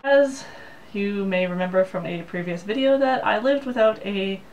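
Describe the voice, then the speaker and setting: A woman's voice: mid-range voice, moderate pace, far from the mic, lo-fi sound, a little hiss or hum. Young woman vlogging, a bit off-mic. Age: young adult. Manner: plain, practical explaining. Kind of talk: casual talk to camera. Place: small room in a Japanese apartment, indoors. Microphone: camera mic, head-and-shoulders framing.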